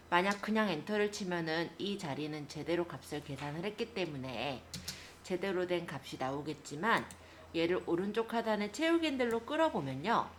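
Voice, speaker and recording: A woman teacher giving a step-by-step software tutorial, explaining politely to learners. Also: mid-range voice, slight background noise.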